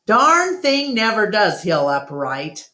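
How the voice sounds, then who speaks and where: A woman's voice: medium pitch, big pitch swings, slow, bright, forceful tone, echoey room, voice pushed up, full character voice. A woman voicing a blunt, tight-fisted man, a grumbling boss in a storybook. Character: gruff, tight-fisted male circus boss.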